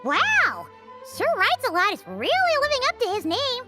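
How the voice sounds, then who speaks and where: A woman voicing a tiny, excitable, cheeky fairy companion in a video game, squeaky and gushing like a child. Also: normal speed, music in the background, exaggerated voice. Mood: excited, cheeky. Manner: gushing, refers to self by name. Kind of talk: cartoonish video game acting.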